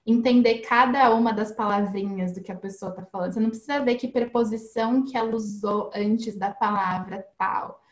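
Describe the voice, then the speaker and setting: A woman's voice: medium pitch, steady tone, brisk, few pauses, echoey room. A woman guest giving casual, chatty advice on learning English, explaining with everyday examples and frequent tag questions.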